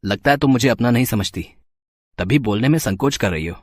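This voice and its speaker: Actor as mild husband in story dialogue. Hesitant, easily flustered, mid-range voice, dry recording. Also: male voice, medium pitch, lively intonation, a little fast, no echo, natural voice. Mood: gentle concern.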